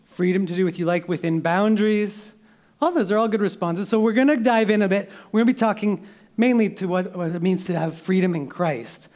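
A young man pastor preaching with casual, emotional asides, laughing often and clearing a scratchy throat into a handheld microphone in a church. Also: sits high, brisk, darkish tone. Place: church sanctuary.

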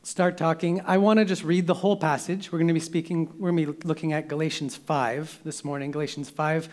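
Young male pastor, slightly high voice, throat catching, warm laughs, church handheld mic. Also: flat delivery, brisk. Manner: emotional, laughs often, loose restarts. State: scratchy throat, clearing it often. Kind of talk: sermon with casual asides. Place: church sanctuary. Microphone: handheld microphone.

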